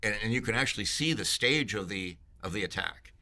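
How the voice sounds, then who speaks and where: A man's voice: lowish voice, lively intonation, fairly quick, sharp tone. A man in marketing, talking up his company in a playful, salesy, joking way during a conference interview. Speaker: vendor marketing executive.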